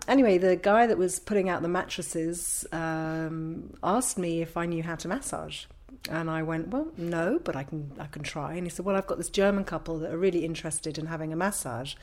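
London woman in her fifties telling stories. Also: on the low side. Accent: London English. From London, England. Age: 50s. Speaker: interview guest, craniosacral therapist. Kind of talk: casual anecdotal storytelling.

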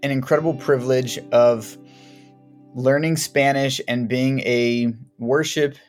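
A man's voice: medium pitch, steady tone, a little slow, soft background music. A young American man, a singer-songwriter guest, sharing his story in a conversational way with frequent restarts. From United States. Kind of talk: casual personal account.

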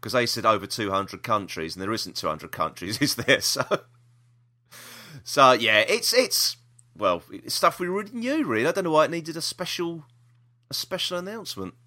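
A man's voice: mid-range voice, sing-song, moderate pace, sharp tone. A British man hosting a podcast, chatting casually about the news in a rambling, opinionated way. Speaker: podcast host.

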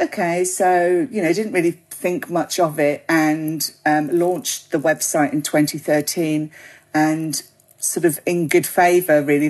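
Guest spot: British woman, low voice, chatty and meandering. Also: darkish tone. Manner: chatty, rambling with many ums and you knows. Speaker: podcast guest, gin writer. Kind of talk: relaxed interview chat.

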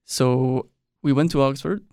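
A man's voice: medium pitch, a little slow, smooth, no room sound. A young man who grew up in Mexico, chatting easily as an exchange-student guest on an interview podcast. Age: young adult. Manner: relaxed, loose with frequent "like" and quiet trailing ends. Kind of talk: casual interview chat. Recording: dry close studio mic.

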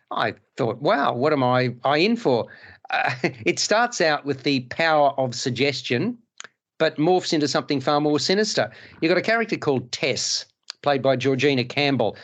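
A man's voice: fairly high pitch, highs cut off. A male podcast host and film critic, chatting casually and reviewing films with many restarts. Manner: stammering restarts, animated.

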